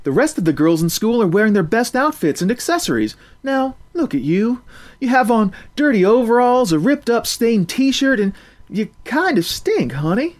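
A man's voice: very high-pitched, big pitch swings, normal speed, voice pushed up. A man voicing a kindly school lunch lady who speaks in a soothing, motherly way. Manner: soothing, calls people darling and honey.

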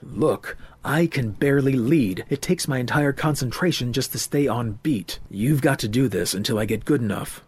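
A man's voice: medium pitch, moderate pace, few pauses, a little mellow, slightly rough, lightly acted. A man voicing a nervous young man who pleads and stammers.

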